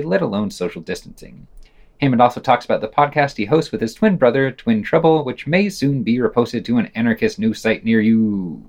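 A man presenting a podcast, reading scripted reviews with dry commentary. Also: mid-range voice. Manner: reads quotations aloud, marking quote and unquote.

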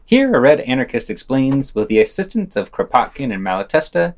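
A man's voice: mid-range voice, very animated, brisk, a little mellow, no room sound. A man reading scripted essays and news commentary as a podcast host.

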